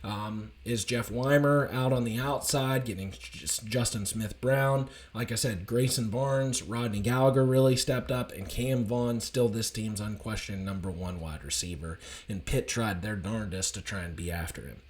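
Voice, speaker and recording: A man with a slightly raspy, hoarse voice, giving an enthusiastic, rambling casual recap of a college football game. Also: lowish voice, moderate pace, hardly any pauses. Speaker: college football fan commentator.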